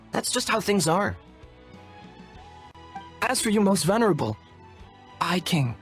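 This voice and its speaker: An actor playing an earnest, courteous and wordy young shaman apprentice in a video game, speaking in a formal, polite manner. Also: sits high. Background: music.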